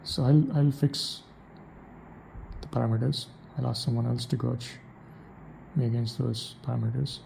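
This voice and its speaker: A man's voice: mid-range voice, steady tone, normal speed, creaky, warm tone, slight background noise, low-quality recording. A man with an Indian English accent, thinking aloud in a reflective solo monologue, restarting his sentences often. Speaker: podcaster.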